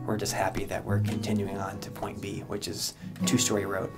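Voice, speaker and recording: Earnest man over steady background music. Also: on the low side. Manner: earnest, many filler pauses. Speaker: country singer-songwriter. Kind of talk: casual interview chat. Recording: music playing underneath.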